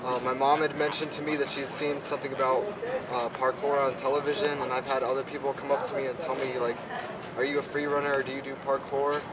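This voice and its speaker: A man's voice: medium pitch, level tone, fast talker, a loud crowd. A nineteen-year-old male student talking about parkour in a laid-back interview outdoors on a campus. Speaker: university student and parkour athlete. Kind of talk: casual interview. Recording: distant mic, busy outdoor noise.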